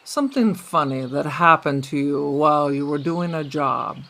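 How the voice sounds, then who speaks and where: A man plays an emphatic, streetwise police mentor in an audio drama, drilling points by repetition. The voice is fairly high for a male, forceful and bright, with a gravelly creak. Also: moderate pace. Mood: coaching. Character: streetwise police mentor coaching an undercover cop in acting.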